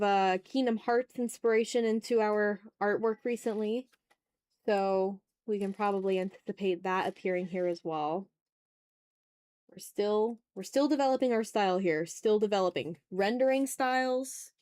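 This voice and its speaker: A woman with a mid-pitched voice hosts a drawing stream. She chats informally with her viewers, moving between remarks on her work and greetings to people who drop in. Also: normal speed, a little mellow, clean tone. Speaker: host of an art livestream, video creator. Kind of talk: casual livestream chat with viewers while drawing. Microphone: streaming mic, newly placed a little far away.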